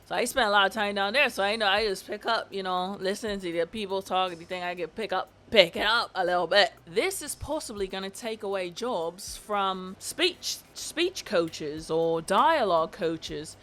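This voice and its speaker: A woman giving casual, sarcastic commentary on a vlog, animated and quick to laugh. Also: medium pitch. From family from Barbados. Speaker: YouTube commentator.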